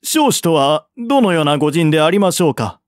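A man voices a shrewd male envoy in a historical audiobook, reasoning in polite, formal sentences. The voice is lifted high and close, with a dry sound. Courtesy holds throughout as he argues for peace. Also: sing-song, fairly quick, dry recording. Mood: polite, probing.

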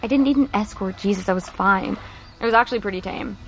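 A woman's voice: medium pitch, fast talker, lightly acted, rough recording. A woman with an American accent playing a blunt, exasperated teenage girl in a naturalistic audio drama. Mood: defensive.

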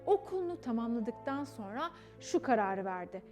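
A woman's voice: fairly high pitch, sing-song, moderate pace, a little mellow. A young woman narrating a children's story in a theatrical, emphatic way, speaking directly to her young listeners. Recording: music behind the voice. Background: background music.

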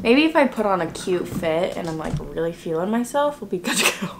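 A woman's voice: lowish voice, animated, gravelly, very noisy. Young woman chatting to camera, casual. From Texas, US. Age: young adult. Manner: chatty, drawn-out, with frequent "like" and some whispered asides. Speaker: vlogger. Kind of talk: casual vlog chat to camera. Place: bedroom at home, then car. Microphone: camera at arm's length.

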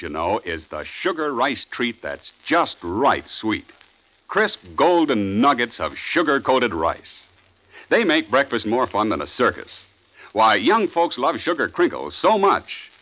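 A man's voice: mid-range voice, pushed and bright, poor audio. An American man announcing a 1950s radio cereal commercial with chummy, emphatic salesmanship.

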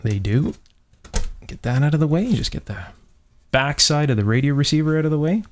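A man's voice: fairly high pitch, lively intonation, a little fast. Enthusiastic man demonstrating at a workbench. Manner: enthusiastic, marveling, thinking aloud. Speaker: host of an electronics restoration video series. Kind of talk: unscripted hands-on demonstration commentary. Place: indoor electronics workshop at a bench.

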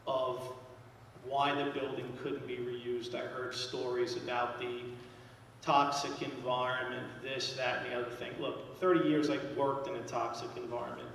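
Loud, blunt man in an echoey hall. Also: medium pitch, vocal fry, a little hiss or hum. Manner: loud, direct, plain-spoken. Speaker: engineer speaking at a town hall meeting. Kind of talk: public presentation at a podium. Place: large school auditorium. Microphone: podium microphone. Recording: echoey hall, heard from far off.

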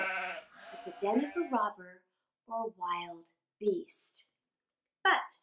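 Very animated, high-voiced woman storyteller. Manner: expressive, drawn-out emphasis. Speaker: storyteller reading aloud for children. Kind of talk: dramatic scripted story reading. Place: small indoor room. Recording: roomy indoor echo.

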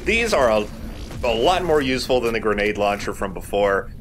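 A man gives casual, explanatory video game commentary as host. His mid-pitched voice moves in a lively way, with game noise behind it. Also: moderate pace. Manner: explanatory, conversational. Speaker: host of a Let's Play. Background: video game sounds.

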